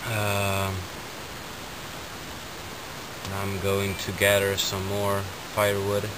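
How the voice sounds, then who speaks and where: A man's voice: on the low side, completely flat delivery, takes it slowly. A young man vlogging from a forest camp on a handheld camera, talking hesitantly with many ums and uhs. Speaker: bushcraft challenge participant. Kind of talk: casual camp vlog. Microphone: handheld camera, self-filmed. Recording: hissy outdoor camera audio. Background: loud hiss of outdoor noise.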